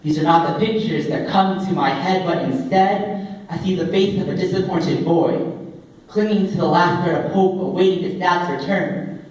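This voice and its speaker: Young guy doing slam poetry live, dramatic n deliberate, highish voice, super echoey room. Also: a little mellow, far from the mic. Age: young adult. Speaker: spoken word poet. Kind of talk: live spoken word poetry performance. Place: indoor open mic coffee house event.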